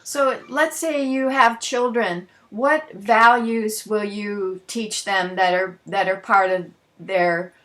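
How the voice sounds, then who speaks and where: A woman's voice: on the low side, fairly bright tone. A woman interviewer asking probing questions in a curious, conversational way, with some hesitant restarts.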